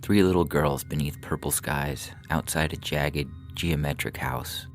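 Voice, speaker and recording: A man reading scripted short fiction as a narrator, in a measured, dramatic, literary manner. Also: very deep voice, steady tone. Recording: music behind the voice.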